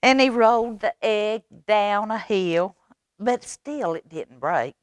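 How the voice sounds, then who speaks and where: A woman reading a children's story aloud expressively, with dramatic pauses and coaxing questions to young listeners. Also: medium pitch, expressive, no echo, clean studio sound. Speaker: library storytime reader.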